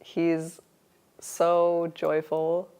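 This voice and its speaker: A woman's voice: lowish voice, completely flat delivery, drawn-out words, mellow, smooth. A woman in her twenties, born in Finland, telling her love story in a casual interview, laughing often and restarting her sentences. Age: 20s.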